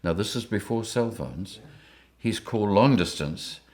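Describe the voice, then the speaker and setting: An older man with a very deep, low-pitched voice. He tells his stories in a lively way and keeps breaking into chuckles. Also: measured pace. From Rhodesia. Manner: lively anecdotes, chuckling often. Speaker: interviewee. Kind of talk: storytelling interview.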